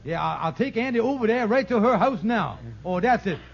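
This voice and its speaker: An actor playing a glib, bumbling schemer in a 1950s radio sitcom, with folksy, stalling, ungrammatical patter. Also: male voice, sits high, expressive, a little fast, gravelly. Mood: excited scheming. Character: fast-talking male schemer, glib, wheedling, bumbling con artist. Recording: muffled, noisy vintage radio broadcast.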